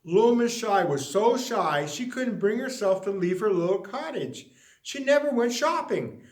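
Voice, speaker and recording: A man reading a children's story expressively, with dramatic, drawn-out emphasis on words. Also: high voice, lively intonation, reverberant. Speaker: story reader.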